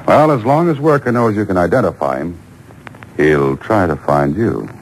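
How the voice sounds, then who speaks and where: A man's voice: low-pitched, expressive, normal speed. An American actor playing a coolly menacing, wry and taunting man on the run in an old-time radio drama. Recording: noisy old radio show taped off air.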